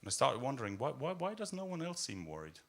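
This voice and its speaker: A man with an Austrian accent doing a stand-up set in a deadpan, darkly humorous way, full of fillers and hesitations. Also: mid-range voice, fast, gravelly. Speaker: stand-up comedian. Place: comedy club stage.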